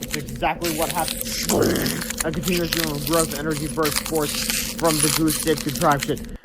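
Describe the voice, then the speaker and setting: Higher-voiced man reading aloud over heavy noise. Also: level tone, moderate pace. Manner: stumbles over words and names. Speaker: storybook reader. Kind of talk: read-aloud narration of a children's chapter book with asides. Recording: very noisy amateur recording.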